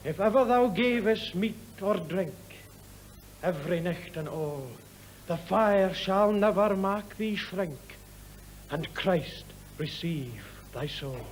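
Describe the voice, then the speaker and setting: An earnest young Scottish conscript reciting in a drama. His high voice moves very slowly through the verse, solemn and incantatory, over faint background noise. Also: expressive, poor audio. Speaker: actor.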